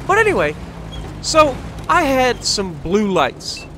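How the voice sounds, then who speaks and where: A man's voice: very high-pitched, wildly swooping pitch, slow pace, noisy background. An American man telling a rambling personal story as he casually commentates over gameplay in a conversational way. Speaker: gaming video host.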